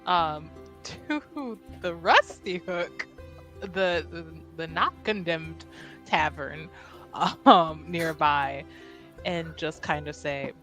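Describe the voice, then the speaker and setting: A woman's voice: low voice, drawn-out words, a bit bright, faint music behind. A woman narrating her character in a tabletop roleplaying game, hesitant and thinking aloud with many fillers. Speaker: player.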